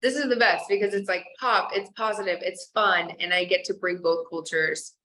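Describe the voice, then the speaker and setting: A young woman from Kansas, a singer chatting casually in an interview, bubbly and quick to laugh, with lots of likes and you-knows. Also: fairly low pitch, steady tone, pushed and bright, poor audio. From Kansas, USA. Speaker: singer guest.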